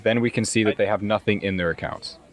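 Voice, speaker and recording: A man with a slightly low voice plays a tabletop game. He explains plans methodically and casually, slipping in and out of character. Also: quick pace. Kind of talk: casual in-game planning and roleplay.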